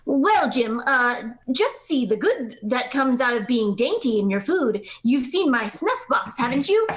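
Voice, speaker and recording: A genial, courteous doctor in a radio play, played by a woman, with a high voice. The delivery is chatty and very lively in its rise and fall. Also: a little slow. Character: genial, dainty male doctor addressing a lad.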